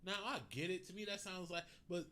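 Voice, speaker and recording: A man's voice: high voice, fairly even intonation, brisk, bright, forceful tone. An American man co-hosting a podcast, talking casually and emphatically in slangy, profanity-laced speech. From United States. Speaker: podcast co-host. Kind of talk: casual, animated back-and-forth conversation. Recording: clearly audible background noise.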